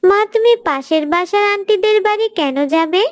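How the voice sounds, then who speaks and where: Woman voicing a very high-pitched, earnest little schoolgirl. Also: normal speed, dry recording, clean studio sound. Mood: cheerful. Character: gentle, pious little schoolgirl, kind and obedient. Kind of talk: cartoon dialogue.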